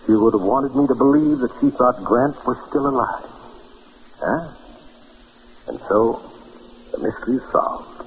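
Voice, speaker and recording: A man's voice: mid-range voice, wildly swooping pitch, a little slow, gravelly, long pauses, full character voice. An actor playing a self-satisfied lawyer-detective who theatrically poses and answers his own questions, in old-time radio drama style. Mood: eager, insistent. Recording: muffled old radio broadcast, faint hiss.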